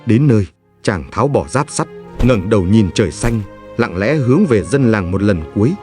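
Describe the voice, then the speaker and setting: A man's voice: lowish voice, animated, normal speed, a little mellow. A man narrating a legend in a solemn, reverent, scripted storytelling style. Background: music.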